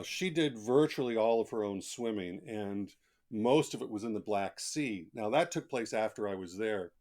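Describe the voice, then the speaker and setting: A man with a fairly low voice talks as a guest author in a relaxed podcast interview. He tells anecdotes in plain, conversational language and leans on key words for emphasis.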